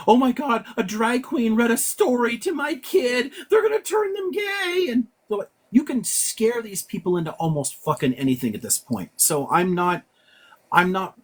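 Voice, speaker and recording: A man, a professor, talking bluntly and with a lot of swearing on a casual live stream, quick and full of restarts. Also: high voice, husky. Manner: blunt and profane, fast with many restarts. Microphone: live-stream setup in his office.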